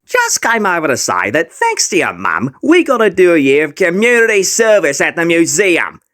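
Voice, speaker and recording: A man's voice: a little high, wildly swooping pitch, normal speed, a bit bright. A man voicing a cocky, sneering teenage bully with an Australian accent and drawling, exaggerated taunts in a comic dub. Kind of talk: comic fandub acting.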